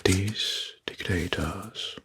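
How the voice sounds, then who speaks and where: A man narrating history in an ASMR style, in a breathy, husky whisper, slowly and deliberately in short phrases. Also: deep voice, fairly bright tone. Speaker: ASMR video host. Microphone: close microphone.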